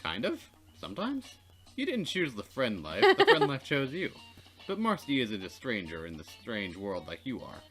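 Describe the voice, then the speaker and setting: A man reading a visual novel aloud as a Let's Play narrator, expressive and playfully dramatic. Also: medium pitch, moderate pace, soft background music.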